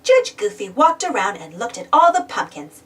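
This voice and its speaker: A woman reading a children's storybook aloud in an animated narration style. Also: fairly high pitch, normal speed. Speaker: storybook reader. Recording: slightly distant mic, music bed. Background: music.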